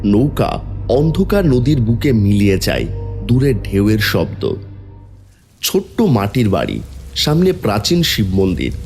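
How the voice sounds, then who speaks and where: A man's voice: lowish voice, very animated, unhurried, pauses often, a little mellow. A man narrating a scripted, dramatic horror and devotional story over music.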